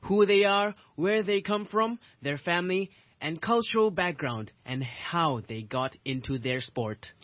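A woman's voice: on the low side, lively intonation, unhurried, dry recording. A woman co-hosting a sports program with scripted lines and light banter. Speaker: co-host and pool player. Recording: dull, lo-fi recording.

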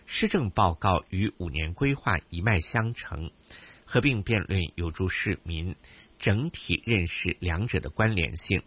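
A man reading a formal radio news and weather bulletin in a measured, even way. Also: low voice, breathy edge. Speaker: radio newsreader. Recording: dull broadcast sound.

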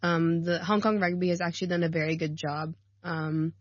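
A woman in her thirties talks in a slightly low voice as a guest on a radio show, rambling warmly with lots of ums and quick laughs. The studio sound is dry and close, with a touch of dullness in the audio. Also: fairly even intonation, no echo, muffled recording. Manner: chatty and warm, laughs often, many ums and likes. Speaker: guest, rugby player and coach. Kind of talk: casual radio interview. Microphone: studio mic.

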